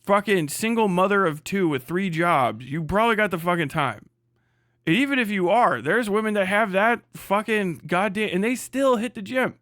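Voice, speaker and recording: A man's voice: high-pitched, expressive, moderate pace, fairly bright tone, no echo, clean studio sound. A man on a casual livestream rant, blunt and hectoring, swearing heavily as he speaks. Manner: mocks others in put-on voices. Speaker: livestream host.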